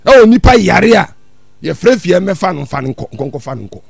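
A man's voice: high voice, sing-song, normal speed, creaky, no room sound, studio quality, soft background music. An older man with a Ghanaian accent preaching in an emphatic, repetitive, denouncing way into a handheld microphone. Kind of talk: impassioned prophetic sermon in Twi with English phrases.

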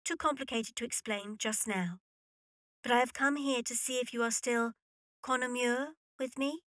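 A woman's voice: on the high side, normal speed, fairly bright tone, dry recording, clean studio sound, close to an everyday voice. A woman playing a proud, poised young heiress who speaks formally in a period audio drama. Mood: apologetic.

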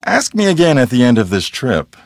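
A man's voice: mid-range voice, sing-song, fairly quick, vocal fry, dry recording, clean studio sound. A man playing a cocky, earnest TV ghost hunter in a video game, explaining things in a theatrical, self-assured way. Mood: earnest, intense.

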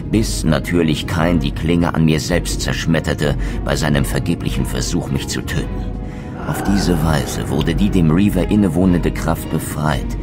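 Very deep brooding undead wraith. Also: male voice. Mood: grave awe. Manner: solemn, literary, measured. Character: brooding undead vampire wraith, noble-born, narrating his quest. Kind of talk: scripted dramatic game narration.

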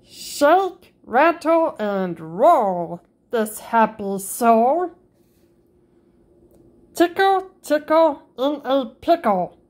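Woman storybook reader, very slow and sing-song, fairly high voice, springy on the sound words, read-aloud for kids. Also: big pitch swings, darkish tone.

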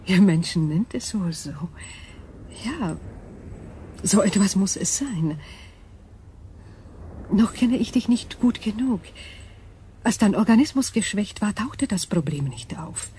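A woman's voice: mid-range voice, expressive, slow pace, long pauses, warm tone, a little hiss or hum. A woman playing an ancient, detached alien crystal intelligence in a radio drama, speaking in formal, precise, analytical phrases. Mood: detached, wary.

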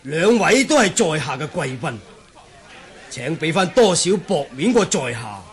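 An actor playing a polite, placating gentleman martial-arts master in a radio drama. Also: on the high side, very animated, a little slow. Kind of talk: Cantonese radio drama acting.

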